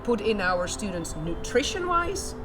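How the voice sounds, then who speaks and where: A woman's voice: mid-range voice, sing-song, a little slow, noisy background. A woman from the Netherlands with a Dutch accent, a school director talking casually outdoors with many fillers. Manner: chatty, laughs easily, many fillers. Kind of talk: casual interview.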